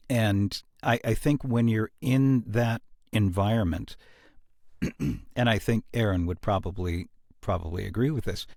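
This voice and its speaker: A man talking casually as a podcast host about creative work, in an earnest, reflective way with halting pauses. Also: fairly low pitch, darkish tone, dry recording, studio quality. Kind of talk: casual behind-the-scenes discussion.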